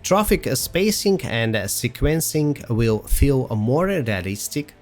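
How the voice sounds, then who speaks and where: A man presenting a semi-scripted software promo narration in a halting way, with frequent pauses. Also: medium pitch, very animated. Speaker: presenter of a software roadmap video. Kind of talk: semi-scripted promotional narration over a flight simulator demo. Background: music.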